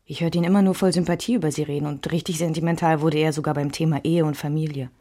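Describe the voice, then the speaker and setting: A woman's voice: sits low, steady tone, quick pace, mellow. An actress playing a reflective, restrained woman recalling her married life in a scripted radio drama.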